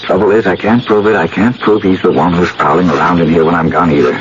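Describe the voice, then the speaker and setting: Radio drama lead, male actor: low, resolute, impatient scientist and suitor; dull, noisy vintage recording. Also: fairly even intonation, fast talker, lightly acted. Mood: uneasy, suspicious. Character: determined, impatient male rat researcher in love. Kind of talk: old-time radio drama acting. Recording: muffled, noisy old radio broadcast.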